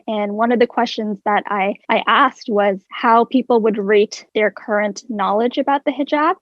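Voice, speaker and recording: A young woman talks earnestly and thoughtfully as a podcast guest, her voice sitting a little high. She often restarts phrases and leans on "like" as she works through her points. The recording is dry and slightly dull, with a lo-fi podcast quality. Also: no room sound. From Ireland. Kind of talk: prepared personal talk.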